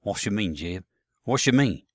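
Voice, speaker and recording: A man voicing a gruff, pushy Confederate soldier with a Southern drawl, scolding his comrade in a story reading. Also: lowish voice, expressive, no room sound, studio quality. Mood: incredulous, needling. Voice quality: slow drawl. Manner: insistent, scolding, folksy.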